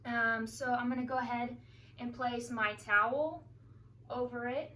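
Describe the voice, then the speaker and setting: A young woman giving an informal instructional demonstration in a hesitant, halting way. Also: fairly high pitch, fairly even intonation, bright, forceful tone. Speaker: student demonstrating a therapy technique. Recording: distant mic, clear background noise.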